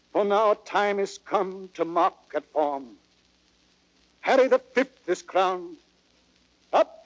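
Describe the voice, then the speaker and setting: A man's voice: on the high side, darkish tone, no room sound, lo-fi sound. An actor playing a dying old king, bitter and grieving over his son, in declamatory classical Shakespearean radio drama style. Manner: declamatory, with big swells and drops.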